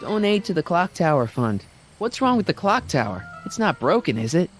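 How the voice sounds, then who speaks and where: A man's voice: on the high side, sing-song, moderate pace. An actor playing a friendly, curious teenage boy in a video game, talking in a casual, wry way. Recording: music under the voice.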